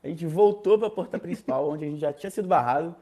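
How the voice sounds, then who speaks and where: A young man from Rio de Janeiro with a Carioca accent, casually telling a personal story, animated and slangy, acting out other people's lines. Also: fairly high pitch, quick pace. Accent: Carioca Brazilian Portuguese. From Rio de Janeiro, Brazil.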